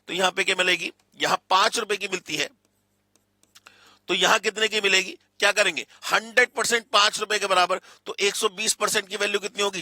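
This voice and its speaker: An Indian man gives a class in a high-pitched, very bright and penetrating voice. He is emphatic, repeats his phrases and poses questions that he answers himself. The sound is dry and close. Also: dry recording. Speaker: maths teacher. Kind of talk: lively lecture to students, Hindi mixed with English.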